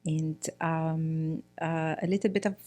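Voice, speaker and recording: Hesitant soft-spoken woman from Egypt. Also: sits low, fairly even intonation, measured pace, soft background music. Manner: hesitant, many fillers and restarts. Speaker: guest, priest's wife. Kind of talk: casual interview conversation.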